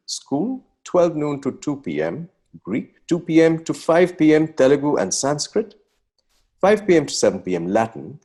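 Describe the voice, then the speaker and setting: A man's voice: mid-range voice, a little mellow, slightly rough. A man with an Indian accent playing an effusive, anxious young nineteenth-century Bengali poet, reading his letters aloud in a theatrical, ornate, exclamatory manner. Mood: earnest, proud.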